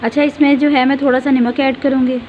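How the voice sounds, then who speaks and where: A woman's voice: high voice, level tone, a little fast, dull audio, a little hiss or hum. A woman hosting a home cooking video, patiently walking viewers through each step and pointing out what to look at. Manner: patient, repeats fillers often, directs viewer to look. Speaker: home cooking channel host.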